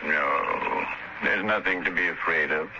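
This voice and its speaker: An actor playing a solemn old zealot with slow, biblical phrasing in 1950s radio drama style. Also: male voice, low-pitched. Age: older. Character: wild-bearded old male zealot, solemn and prophetic. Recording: muffled, very noisy old radio recording.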